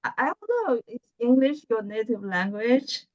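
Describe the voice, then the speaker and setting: A woman from mainland China with a Chinese accent, chatting on a video call as a guest, pausing and searching for words. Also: on the high side, no room sound. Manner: halting, searching for words, laughs often. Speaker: guest, lawyer. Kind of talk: casual video-call chat. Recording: muffled video call audio.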